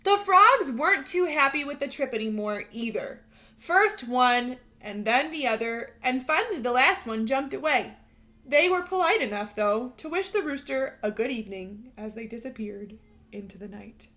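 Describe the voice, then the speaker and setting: Female teacher for children's read-aloud: high, bright voice, expressive storytelling with guiding questions. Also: normal speed. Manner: expressive, instructional, addressing young children. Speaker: teacher reading a picture book to children.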